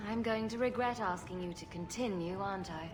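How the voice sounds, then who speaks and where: An actress playing a wry, sarcastic adventurer heroine with a dry, joking manner in a fantasy game. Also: female voice, medium pitch, normal speed, music in the background, lightly acted.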